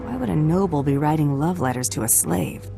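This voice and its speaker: A woman's voice: deep voice, lively intonation, fairly quick, creaky. A woman voicing a cocky female bounty hunter who speaks in short, confident lines. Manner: terse, confident. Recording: game audio with music.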